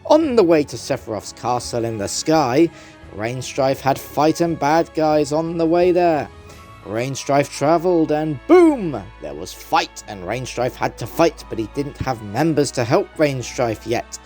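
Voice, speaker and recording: Theatrical male narrator, lively pitch. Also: measured pace. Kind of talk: mock-earnest dramatic story reading. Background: background music.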